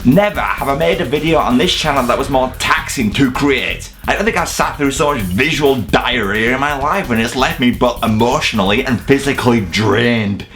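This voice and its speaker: A man's voice: mid-range voice, animated, normal speed, hardly any pauses, fairly bright tone, faint music behind. A British man hosting a video review, delivering scripted comedic countdown narration in a sarcastic, sweary, exaggerated way. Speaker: host of a video review channel.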